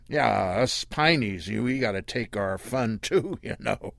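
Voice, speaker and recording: A man's voice: lowish voice, lively intonation, measured pace, creaky, fairly bright tone, dry recording, clean studio sound, exaggerated voice. A drawling old backwoods man, as a man reads him. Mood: folksy. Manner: drawling, chuckling. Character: folksy old backwoods man, wry and teasing.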